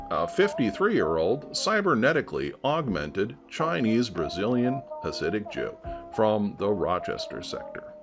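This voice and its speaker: A man playing a polished narrator of futuristic features, reading scripted audio-drama narration in a measured, expressive way. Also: medium pitch. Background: music with guitar.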